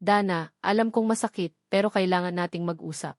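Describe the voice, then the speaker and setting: A woman's voice: lowish voice, fairly quick, a little mellow, no room sound, clean studio sound, natural voice. A woman voicing a bitter, regretful man in a narrated love drama, earnest and self-justifying. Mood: serious. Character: bitter, pleading man, a regretful former lover.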